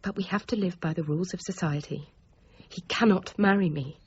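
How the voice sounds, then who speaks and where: An actress playing a lovestruck but determined young gentlewoman in a period radio drama, composed and candid. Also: fairly low pitch, brisk, breathy edge, highs cut off. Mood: tender, composed. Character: lovestruck, resolute young gentlewoman, Newton's niece.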